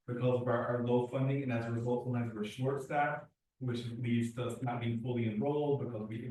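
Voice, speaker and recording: A man, a youth program director giving earnest, quick testimony with restarts at a public meeting. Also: mid-range voice, darkish tone, a little hiss or hum. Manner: earnest, talks fast with restarts. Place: community board meeting room. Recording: echoey room, far from the mic.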